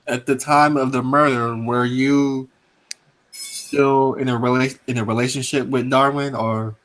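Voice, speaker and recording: Man, mid-range voice, calm, patient, measured. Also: medium pitch, rough recording. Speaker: guest. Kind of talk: casual call-in conversation.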